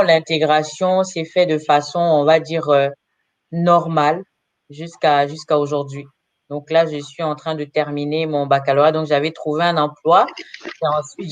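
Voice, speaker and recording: A woman in her thirties from Congo, answering interview questions about her life as a career counselor, often pausing with filler sounds. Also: sits low, no echo, dull audio. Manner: hesitant, frequent filler pauses.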